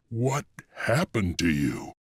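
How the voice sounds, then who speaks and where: Slow, grim low-voiced hero. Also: male voice, sing-song, husky, dry recording, studio quality, full character voice. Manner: solemn, measured, determined. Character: grim, resolute male wolf clan leader and rebel hero. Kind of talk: dramatic fantasy game voice acting.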